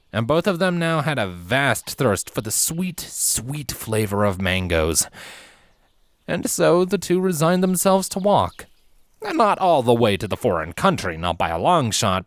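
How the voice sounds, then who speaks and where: Guy reading a story aloud, kinda low voice, really animated, dry close sound. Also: dry recording. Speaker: fan fiction narrator. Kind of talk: scripted storybook narration.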